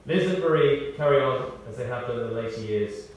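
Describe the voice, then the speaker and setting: A British man reads a scripted memorial tribute as a eulogist, telling anecdotes in a measured way with pauses and emphasis. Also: mid-range voice. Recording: very echoey, distant mic, faint noise.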